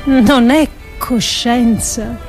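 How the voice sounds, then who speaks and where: A woman performing a solemn dramatic reading of an essay, with deliberate, emphatic phrasing. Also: a little high, fairly even intonation, a little mellow, faint music behind. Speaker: actress performing a radio monologue.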